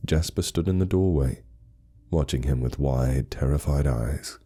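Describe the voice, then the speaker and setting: Very deep, dark-voiced male horror narrator. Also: unhurried. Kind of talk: dramatic scripted narration.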